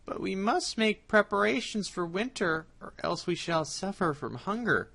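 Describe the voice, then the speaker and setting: A woman's voice: medium pitch, animated, normal speed, creaky, a bit bright, slightly rough, voice pushed up, heavily acted. A woman voicing a sly, greedy male cat who talks his way around a trusting mouse. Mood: persuasive.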